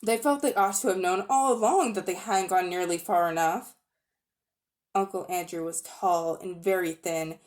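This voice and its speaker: A woman giving an expressive, animated dramatic reading of a children's storybook. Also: on the low side, normal speed. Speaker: reader.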